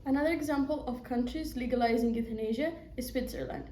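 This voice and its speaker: A woman's voice: on the high side, fast, dark tone. Young Greek woman reading a law presentation. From Greece. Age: young adult. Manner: reads a prepared script with small slips. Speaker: law student. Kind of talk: read-aloud presentation. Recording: echoey room with faint noise.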